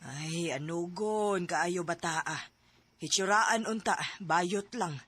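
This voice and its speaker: A woman's voice: lowish voice, takes it slowly. A woman acting a middle-aged, judging, regretful mother in a radio drama, with a Cebuano accent. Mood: musing, regretful. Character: Mia's mother, slow-spoken, regretful, judging older woman.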